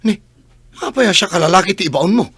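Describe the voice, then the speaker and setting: A man playing a cheeky, argumentative younger brother in an Ilocano radio drama, with a raspy voice and a Filipino accent. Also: high-pitched, lively intonation, a little fast, a bit bright, no echo, full character voice.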